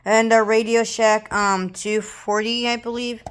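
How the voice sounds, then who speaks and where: A woman giving a casual, rambling, halting show-and-tell of gear as a hobbyist presenter. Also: medium pitch, flat delivery, a bit bright, clear, resonant tone. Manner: halting, rambling, improvised delivery. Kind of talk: casual product showcase. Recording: noisy room with clicking handling sounds. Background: noise, clicks, radio broadcasts.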